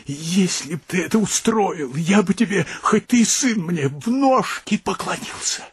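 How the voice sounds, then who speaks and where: A man's voice: sits high, animated, a little slow, breathy edge, no room sound, heavily acted. An actor playing a weak-willed, self-pitying elderly provincial father in a radio drama, hesitant and sighing. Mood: pleading.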